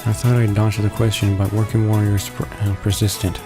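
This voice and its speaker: A man reading a visual novel's narration aloud as a let's player, halting and correcting himself. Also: lowish voice, level tone. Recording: game music behind the voice. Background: visual novel background music.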